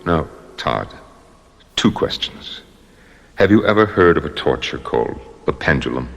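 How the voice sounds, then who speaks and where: An actor playing a coldly polite, menacing villain in an old radio drama, speaking in measured, mock-courteous threats. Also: male voice, rumbling low, animated, gravelly, exaggerated voice. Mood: smooth menace. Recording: hollow long-reverb radio drama recording.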